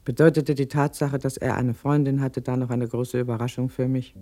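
An actor playing a resigned, disillusioned spouse in a formal radio play monologue. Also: male voice, mid-range voice, flat delivery, a little fast, warm tone, close to an everyday voice. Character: disillusioned spouse confessing a failed marriage. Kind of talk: scripted confessional monologue.

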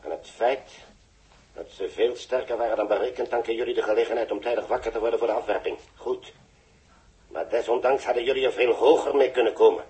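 A man acting a grave, authoritative chief engineer with a Dutch accent, speaking deliberately in a 1960s radio drama. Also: mid-range voice, a little mellow, close to an everyday voice, rough recording. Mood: grave, controlled. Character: grave, measured male chief engineer at mission base.

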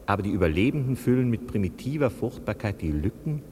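A man's voice: lowish voice, very animated, quick pace, warm tone. A man reading out philosophical prose in a grave, declamatory style. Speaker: reader of a quotation. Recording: long hall reverb, clear background noise.

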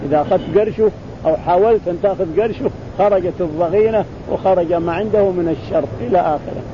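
A man explains a religious text in spoken commentary. His voice sits high and he talks quickly, often repeating and restarting phrases. The recording is muffled, with a loud, constant rumble behind him. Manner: repeats phrases, restarts words, acts out quoted exchanges. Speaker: teacher explaining hadith.

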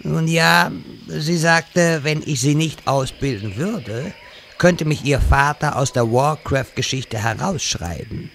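A man's voice: fairly high pitch, animated, creaky, a bit bright, a little hiss or hum, heavily acted. A man playing a pompous, theatrical old wizard in a comedy audio drama. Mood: solemn. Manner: slow, theatrical delivery.

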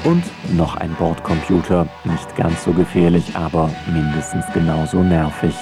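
A male feature narrator with a low voice. He delivers scripted lines in a measured, wry way. Music is clearly present behind him. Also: fairly even intonation.

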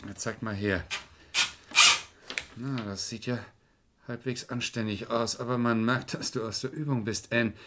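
A man's voice: mid-range voice, steady tone, measured pace, pauses often, a bit bright, lightly acted. Man playing a shrewd, domineering radio-play lawyer. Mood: cool, pointed. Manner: probing, sardonic, commanding. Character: shrewd, domineering defence lawyer, scornful and commanding. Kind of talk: German crime radio play acting. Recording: old radio drama recording.

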